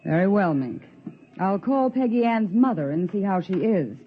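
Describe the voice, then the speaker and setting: Actress as nervous suburban mom, old radio play, expressive swings in pitch, muffled vintage sound. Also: female voice, moderate pace, natural voice. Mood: wry, pensive. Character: anxious suburban mother turning terrified by invasion. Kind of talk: 1950s radio drama acting. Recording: muffled, hissy 1950s radio recording.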